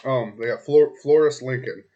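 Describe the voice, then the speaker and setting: Man, hoarse, mid-pitched, web consultant narrating his screen in a loose, chatty way, thinking out loud with frequent ums. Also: normal speed, dull audio. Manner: talks to the client directly, loose and chatty, thinks aloud with many ums and restarts. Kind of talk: casual screen-recorded walkthrough.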